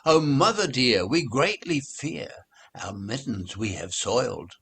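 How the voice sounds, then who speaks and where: A man's voice: medium pitch, lively intonation, takes it slowly, vocal fry, a bit bright, husky, voice pushed up, heavily acted. A man voicing little storybook kittens who plead with their mother and mew in a childlike sing-song. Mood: sorrowful pleading.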